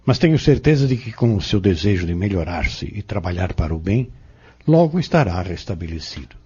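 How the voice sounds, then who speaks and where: A man with a Brazilian accent playing a calm, wise pharmacist mentor in an audio drama, explaining things formally and reassuringly. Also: low-pitched, a little fast, husky, full character voice. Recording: muffled lo-fi audio.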